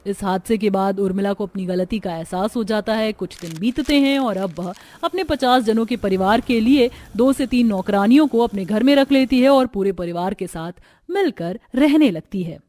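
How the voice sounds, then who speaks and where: A woman delivering scripted narration for an animated family story. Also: mid-range voice, moderate pace.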